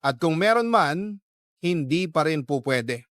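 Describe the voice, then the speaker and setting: A man's voice: on the high side, lively intonation, unhurried, fairly bright tone, dry recording, studio quality. A man voicing a self-sacrificing young woman in a radio drama, hesitant and resigned.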